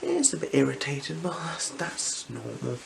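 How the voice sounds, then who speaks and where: Soft, creaky ASMR voice, noisy recording. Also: medium pitch. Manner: gentle, unhurried, soothing instructions. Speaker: ASMR performer playing an eye examiner. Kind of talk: ASMR role-play, soft-spoken with whispers. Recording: clear background noise.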